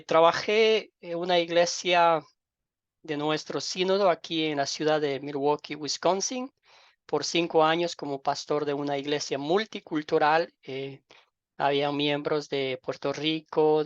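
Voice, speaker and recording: A middle-aged man with an Ecuadorian accent, a pastor informally welcoming an online class in a halting way, repeating words and using fond diminutives. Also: a little high, smooth, dry recording. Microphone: online group call microphone. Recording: dull lo-fi online call audio.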